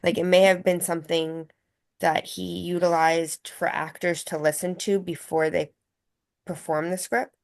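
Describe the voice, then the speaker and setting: A woman's voice: on the low side, flat delivery, a little slow. A woman taking part in a casual discussion among actors, feeling her way tentatively through her thoughts as she talks. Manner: tentative, thinking aloud.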